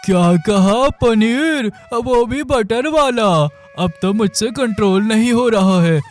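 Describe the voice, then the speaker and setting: A man's voice: extremely high pitch, slow pace. A man voicing a naive, food-loving young sidekick thief who talks in a silly, eager way. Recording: faint background music.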